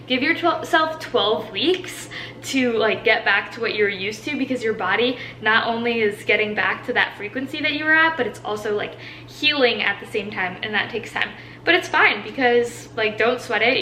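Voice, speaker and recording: A woman's voice: on the high side, bright, forceful tone, reverberant, a little hiss or hum, poor audio. A woman in her twenties, a fitness coach whose first language is Portuguese, giving tips in a chatty, upbeat Q&A with lots of "like"s. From Brazil. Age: 20s. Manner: chatty, filler-heavy with many "like"s, upbeat. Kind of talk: casual Q&A monologue.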